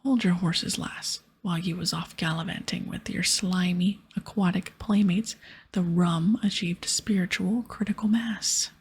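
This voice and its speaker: A woman voicing a bossy, sarcastic male pirate captain from a game in a breathy whisper. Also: fairly low pitch, fairly even intonation, a little slow, slight background noise. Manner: brusque, sarcastic, teasing. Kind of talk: whispered game dialogue reading.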